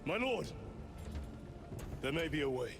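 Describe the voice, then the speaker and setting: An actor playing an earnest, insistent space marine sergeant in a video game, speaking with urgency. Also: male voice, sing-song, unhurried. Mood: urgent, earnest. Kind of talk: dramatic video game acting. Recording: loud background rumble and noise.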